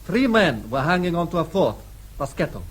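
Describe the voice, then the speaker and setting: An actor playing a formal, methodical Austrian police inspector giving a report in a radio drama. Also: male voice, fairly high pitch, sing-song, normal speed, husky. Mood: official. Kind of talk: British radio drama acting.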